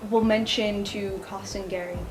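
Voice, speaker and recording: A woman's voice: mid-range voice, steady tone, normal speed, noisy background. A woman playing a tabletop roleplaying game, describing her character's actions in a chatty, slightly hesitant way. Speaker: player. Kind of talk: casual tabletop play.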